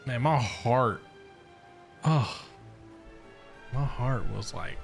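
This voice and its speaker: Guy streaming a game, kinda low creaky voice, rambles n thinks out loud, bit of music in back. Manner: rambling, halting, thinking aloud. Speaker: game livestreamer. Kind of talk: casual live reaction and chat.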